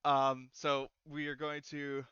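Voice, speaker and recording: A man speaking casually as game master after a session, laughing easily and often pausing to think. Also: a little high, completely flat delivery, bright, forceful tone, clean tone, no echo, dull audio. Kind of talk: casual post-game chat.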